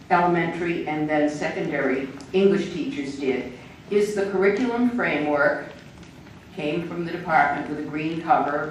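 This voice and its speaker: An older woman giving a measured, explanatory public talk as an invited speaker. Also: sits low. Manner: measured, explanatory, with small laughs. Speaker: invited speaker on education standards. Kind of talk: public lecture. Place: echoey hall. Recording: echoey hall, distant mic, faint noise.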